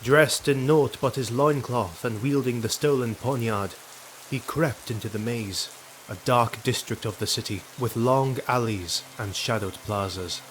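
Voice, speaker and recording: A man's voice: mid-range voice, a little slow, slight background noise, rough recording. A man narrating a fantasy audio drama in a scripted storytelling style. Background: background music.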